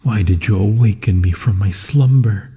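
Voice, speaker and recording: A man's voice: fairly low pitch, moderate pace, mellow, slightly rough. A man voicing a hungry, possessive werewolf in an ASMR fantasy roleplay, savoring his words seductively and often slipping into whispers. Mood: possessive, predatory. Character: hungry, possessive male werewolf, menacing yet infatuated. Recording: long cavernous reverb.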